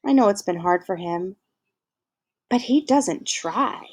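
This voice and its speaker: A woman reading as a weary, gentle aunt. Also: mid-range voice, expressive, breathy edge. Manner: slow, reflective reading of dialogue. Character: weary, soft-spoken aunt, gentle and tired.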